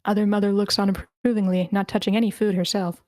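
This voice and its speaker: Female narrator, medium pitch, dry close sound, reading film scene descriptions. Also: flat delivery, quick pace, darkish tone, no room sound. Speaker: stage-direction reader. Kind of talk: scripted narration at a screenplay table read.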